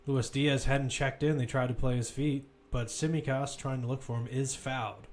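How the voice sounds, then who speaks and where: A man's voice: medium pitch, steady tone, moderate pace, a little hiss or hum. Man calling live football play-by-play. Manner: running description with self-corrections and asides. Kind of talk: live sports commentary.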